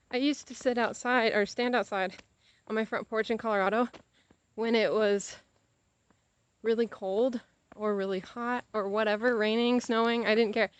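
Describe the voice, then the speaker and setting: A woman's voice: medium pitch, smooth, muffled recording. A young American woman chats casually while walking outdoors, rambling with playful exaggeration and easily sidetracked. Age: young adult. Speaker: vlogger.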